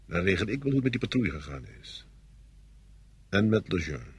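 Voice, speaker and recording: Menacing, husky, low-voiced officer. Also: male voice, big pitch swings, heavily acted. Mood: coldly threatening. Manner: slow, condescending, threatening. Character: arrogant, menacing, cowardly army officer, bargaining for silence. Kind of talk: Dutch radio play acting.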